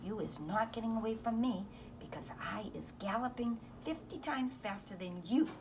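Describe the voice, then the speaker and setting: A woman's voice: fairly high pitch, animated, moderate pace, background noise, pitched above the performer's own voice. A woman voicing a huge, greedy, bullying male giant in a storybook, menacing and ungrammatical. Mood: gloating menace.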